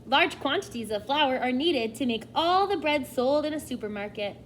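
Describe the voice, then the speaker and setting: A woman's voice: high voice, expressive, normal speed, slight background noise. A woman teacher reading a nonfiction book aloud to children, expressively, often addressing them directly with questions and asides.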